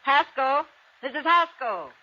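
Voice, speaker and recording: An actress playing a haughty, sharp-tongued young heiress in an old-time radio drama. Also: female voice, sits high, lively intonation, measured pace, noisy background. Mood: commanding. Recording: muffled vintage radio recording.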